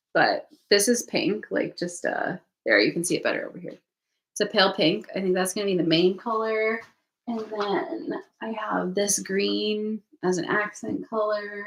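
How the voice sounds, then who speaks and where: A woman's voice: lowish voice, creaky, fairly bright tone. A woman hosting a casual knitting livestream from home, rambling and thinking aloud, a bit sniffly. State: sniffly from allergies. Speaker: knitting channel host. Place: home craft room, indoors.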